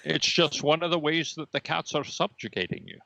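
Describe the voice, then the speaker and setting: A man's voice: fairly high pitch, big pitch swings, a little fast, creaky, bright, forceful tone, dry recording, exaggerated voice. A man voicing a knowing, sardonic red laser dot, a cat's old rival, in an improvised comedy podcast. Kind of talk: improvised character comedy interview.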